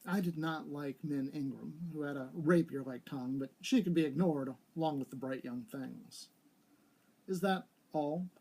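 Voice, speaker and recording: Male story reader, voice a bit on the high side, for live literary narration. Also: moderate pace, gravelly, few pauses, darkish tone, husky, poor audio.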